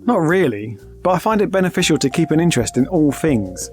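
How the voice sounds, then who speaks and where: An actor playing an easygoing, shrewd space adventurer, speaking in a wry, conversational way. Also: male voice, a little high, big pitch swings, a little fast, a little mellow, faint music behind. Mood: explaining.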